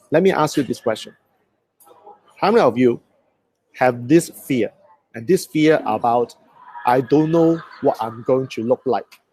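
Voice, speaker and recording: A man's voice: medium pitch, mellow, rough recording. A man from Hong Kong with a Hong Kong accent, a native Cantonese speaker, giving a motivational coaching talk on a live stream and putting questions to his viewers. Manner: motivational, asks the audience questions.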